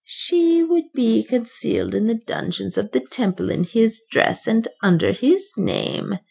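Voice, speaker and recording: A secretive, scheming servant woman, as a woman narrator puts her on. She speaks quietly but presses her point, her voice pitched a little high and rising and falling widely. This is a storybook reading. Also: big pitch swings, measured pace, gravelly, slightly rough, heavily acted. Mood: urging. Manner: quiet, insistent.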